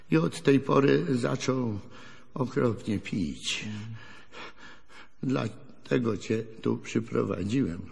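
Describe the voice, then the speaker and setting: A folksy old grandfather in a radio play, spinning wry, wistful tales in rustic speech. His voice is husky and creaky, with an unhurried delivery. It rings in a long reverberant space. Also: on the low side, a little mellow, heavily acted. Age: older. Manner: rambling folksy storytelling, chuckling, rustic colloquial speech. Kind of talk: radio drama acting.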